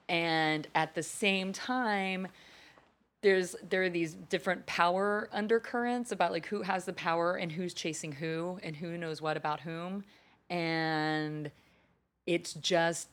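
A woman co-hosting a casual chat show, talkative, swearing now and then and laughing easily. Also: fairly low pitch.

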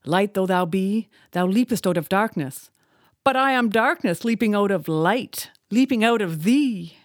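A woman's voice: mid-range voice, lively intonation, a little slow, no echo, studio quality, close to an everyday voice. A woman voicing a defiant old male sea captain, declaiming in grand, impassioned speech.

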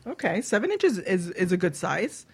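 A woman's voice: lowish voice, very animated, normal speed, slightly rough. A woman hosting a radio show, chatting casually and bawdily in a frank, teasing way. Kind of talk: bawdy casual panel chat.

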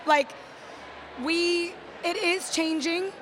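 Woman from Texas; high pitch, loose and rambling casual talk, faint hiss. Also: fairly even intonation, very slow, a bit bright. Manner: rambling, filler-heavy. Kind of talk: casual chat.

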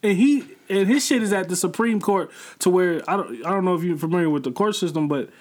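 A young man chatting casually as a podcast co-host, excitable and rambling, quick to get loud and laugh. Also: high voice. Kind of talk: casual chat.

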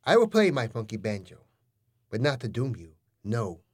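A man's voice: medium pitch, sing-song, moderate pace, husky, pitched below the performer's own voice. A man voicing a dramatic male ninja who speaks in grand, solemn pronouncements. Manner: melodramatic, grandiose.

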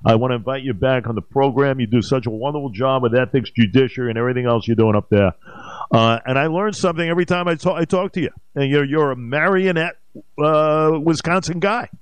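A man's voice: mid-range voice, quick pace, smooth, no room sound. A man hosting a morning radio talk show, blunt and conversational with his guest.

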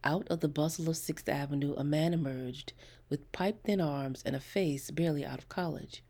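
Deep, husky, mellow woman storyteller. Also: normal speed. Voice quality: husky, breathy. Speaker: narrator reading a short story. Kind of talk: scripted fiction narration.

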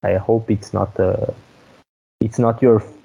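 A man's voice: lowish voice, level tone, a little slow, creaky, mellow, breathy edge, highs cut off. A man in a casual chat, hesitant and full of fillers as he searches for words. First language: Hungarian. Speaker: guest.

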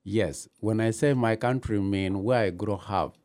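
A man from Ethiopia, telling his own story in halting, with many pauses. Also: fairly low pitch, darkish tone. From Gambela, Ethiopia. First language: not English. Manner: halting non-native English, many pauses. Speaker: interviewee, new citizen. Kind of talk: personal story in an interview.

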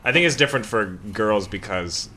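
A young man chatting on a podcast, teasing and jumping in with quick remarks. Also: lowish voice, expressive, pushed and bright, slight background noise. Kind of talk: casual chat.

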